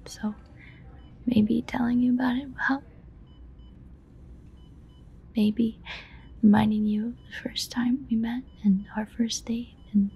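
A breathy whisper with a creaky edge, over noticeable background noise. An actress plays an obsessive, guilt-ridden woman in an audio roleplay. She pleads haltingly and intimately with the one she loves. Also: fairly high pitch, darkish tone, poor audio. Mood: hopeful, tender. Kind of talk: whispered dramatic audio roleplay addressed to a listener.

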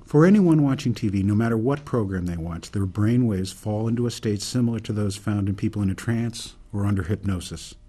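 A man acting in a radio drama as an earnest older reformer, delivering a deliberate, solemn, speechifying monologue. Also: fairly low pitch, warm tone, slightly rough. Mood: grave, urgent. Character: earnest older male reformer laying out a grave case.

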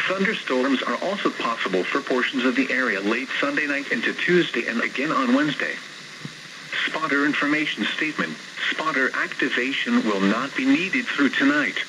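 A synthetic male announcer reads a formal, measured weather bulletin, heard through a radio speaker. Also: medium pitch. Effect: played through a radio speaker. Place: radio on a shelf in a small indoor room. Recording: noisy weather radio speaker recorded in room.

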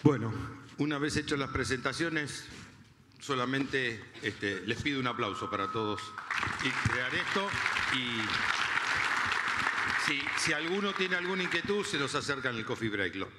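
A man's voice: mid-range voice, animated, normal speed, over a noisy crowd, very noisy. An older man, making an informal announcement on stage at a conference, speaking into a handheld mic. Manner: informal, uses filler "este". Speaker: association representative announcing on stage. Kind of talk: public announcement speech. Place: large conference hall on stage. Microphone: handheld microphone. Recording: reverberant hall with faint ambience.

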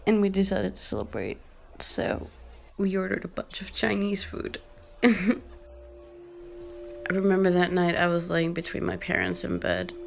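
A young woman playing a weary, drugged teenage girl in a drama, confessional, with a languid, halting, half-whispered delivery. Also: fairly low pitch, vocal fry, slight background noise. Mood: hushed, reflective. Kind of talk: naturalistic screen drama monologue, fan voiceover. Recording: music behind the voice.

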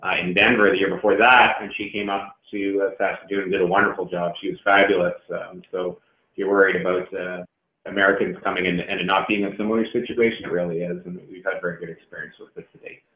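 A man with a low voice and a flat, steady delivery adds informal remarks at a meeting. The room rings around his words, as on a conference call. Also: fast, echoey room, low-quality recording.

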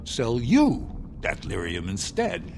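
An actor voicing a sly middle-aged male dwarf smuggler in a video game, making a wheedling sales pitch. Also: wildly swooping pitch, slow pace, creaky, darkish tone, a little hiss or hum, heavily acted. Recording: game audio with music behind.

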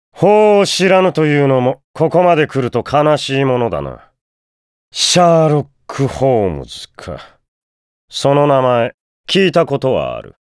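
A cold, menacing aristocratic prosecutor for a game. He sneers in a slow, haughty drawl, and his pitch rises and falls a great deal. The studio sound is dry. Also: clean tone, dry recording. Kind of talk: game voice clip.

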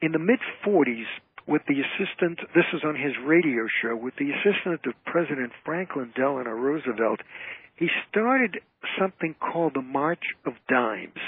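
A man's voice: a little high, expressive, moderate pace, breathy edge, no echo. A man giving an explanatory, anecdote-telling interview as a guest entertainer. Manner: storytelling, explanatory. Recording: narrowband phone-like radio line.

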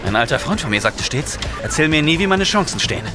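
An actor playing a cocky, defiant space commander in a retro sci-fi audio drama, urgent and punchy. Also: male voice, a little high, big pitch swings, quick pace. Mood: wry. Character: bold, cocky male space commander hero. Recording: loud music and engine noise behind.

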